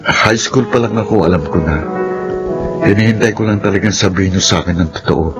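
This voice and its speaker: A man playing a young adult son with a Filipino accent speaks low and composed in a radio drama. He is sincere and forgiving. The sound is dull, with loud music beneath him. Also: fairly quick, lightly acted. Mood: composed, firm.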